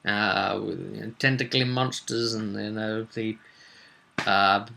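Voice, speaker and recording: A man's voice: lowish voice, steady tone, slow pace, gravelly, sharp tone, slight background noise. A man talking as a game master in a casual storytelling vlog, with theatrical emphasis and rambling asides. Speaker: game master recapping a tabletop roleplaying campaign.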